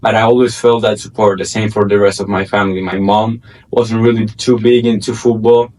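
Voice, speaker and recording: Flat-toned male podcast co-host. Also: on the low side, fairly quick. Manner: loose, rambling questions with many likes. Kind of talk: casual interview chat.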